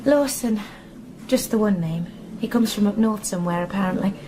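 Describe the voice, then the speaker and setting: A woman's voice: mid-range voice, normal speed, natural voice. An actress in a radio drama playing a worried, talkative mother, anxious and plain-spoken. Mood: confiding. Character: worried, talkative working mother of a wayward lad. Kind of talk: naturalistic radio drama acting. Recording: clear background ambience noise.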